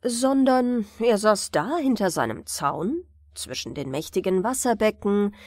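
A woman reading fairy tales as an expressive bedtime audiobook narrator. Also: mid-range voice, measured pace, no echo.

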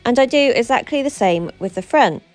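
A woman in her thirties, a truck driver, narrating her work in a steady voice-over, explaining each step. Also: fairly high pitch, a little fast, soft background music.

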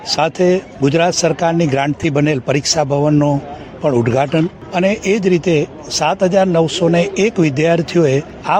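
A man makes a formal statement as a university official. His voice is a little higher than usual. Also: darkish tone. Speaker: university vice-chancellor.

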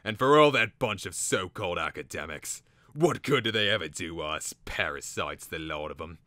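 A scornful, sweaty pet trader from a storybook, played by a male narrator. He grumbles in a wheezy, gruff male voice, bitter and loud. The recording is dry and close. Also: sits low, expressive, moderate pace, no echo.

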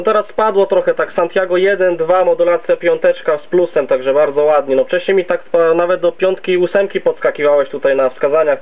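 A man with a fairly high voice talks over a thin, phone-like radio channel. He is chatty and loose, making casual contact as a radio operator. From Poland. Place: home base station. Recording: narrow, tinny CB radio audio.

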